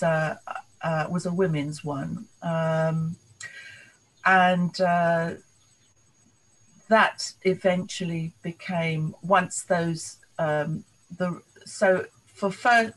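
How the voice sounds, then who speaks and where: Slow, flat-voiced older woman. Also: fairly low pitch, rough recording. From London, England. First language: Polish. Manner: thoughtful, halting reminiscing. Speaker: interviewee. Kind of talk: oral history recollection.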